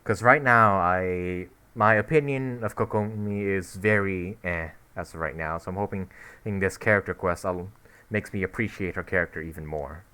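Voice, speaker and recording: Low-voiced rambling young game commentator. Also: male voice, moderate pace, sharp tone, clear, resonant tone. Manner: rambling, full of fillers and restarts, snarky. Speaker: gameplay commentator. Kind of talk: casual let's-play commentary.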